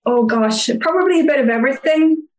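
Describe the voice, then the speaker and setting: A woman's voice: high-pitched, expressive, a little fast, a little mellow, echoey room, faint music behind, low-quality recording. A young Irish woman, a guest, chatting casually and telling stories with frequent ums. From Ireland (Cork). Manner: chatty, storytelling with filler ums. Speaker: guest fan. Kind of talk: casual interview chat.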